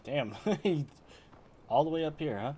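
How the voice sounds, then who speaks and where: A man's voice: fairly high pitch, lively intonation, slow pace, dark tone. A man doing casual gameplay commentary, muttering and echoing lines back as he plays. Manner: mutters, echoes dialogue back, laughs. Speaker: streamer. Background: game music.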